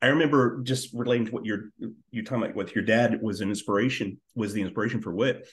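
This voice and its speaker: A man in his fifties hosting a casual chat, talking eagerly and excitably and repeating his words. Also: on the low side, quick pace. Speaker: interview host. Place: living room at home on a video call. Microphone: computer mic on video call.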